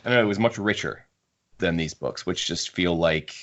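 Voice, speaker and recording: A man hosting a casual book discussion among friends, talking haltingly and repeating words as he works through his points. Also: sits low, a bit bright. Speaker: podcast host.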